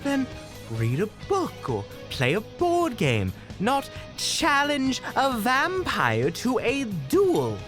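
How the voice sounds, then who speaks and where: An actor as a slow, wryly scolding hunter. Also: mid-range voice, wildly swooping pitch, music in the background. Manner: dry, sarcastic, gently scolding. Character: kind-hearted, wryly scolding monster hunter. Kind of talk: audio roleplay drama acting.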